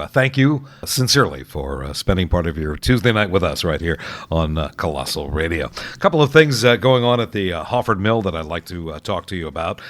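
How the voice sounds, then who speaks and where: An American man hosting an oldies radio show, chatting casually in a folksy, halting way between songs and local announcements. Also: low-pitched, no echo. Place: radio studio. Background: music under the voice.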